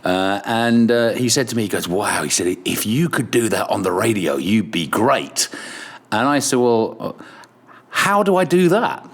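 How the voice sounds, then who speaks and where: A middle-aged man with an English accent, telling radio stories in a relaxed, chatty way and swearing casually. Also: fairly low pitch, a little slow, breathy edge. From Ireland. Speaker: podcast guest, radio presenter. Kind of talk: casual chat.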